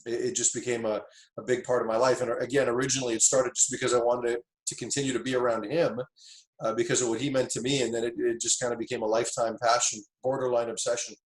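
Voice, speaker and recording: A middle-aged man from Tanzania, a football coach, telling stories about his start in the game in a relaxed, reflective way, with plenty of fillers and restarts. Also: medium pitch, fast, reverberant, low-quality recording. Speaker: football coach, interview guest. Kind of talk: casual interview storytelling.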